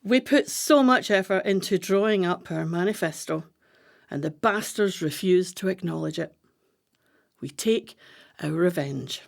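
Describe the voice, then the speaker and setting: An actress playing a brisk-minded, commanding woman plotter in a radio play, with a deliberate, declamatory delivery. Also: fairly low pitch, vocal fry. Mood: rousing, determined.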